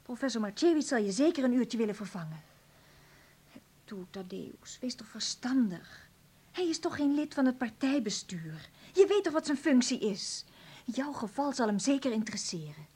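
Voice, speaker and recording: A woman's voice: a little high, very animated, moderate pace, mellow, slightly rough. An actress playing an insistent, frustrated woman in a radio play, urgent and reproachful with her partner. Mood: urgent, pleading. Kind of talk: Dutch radio play acting.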